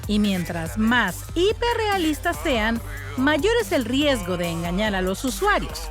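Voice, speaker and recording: A woman's voice: on the high side, big pitch swings, unhurried. A woman narrating a scripted explainer video as a voice-over. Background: music.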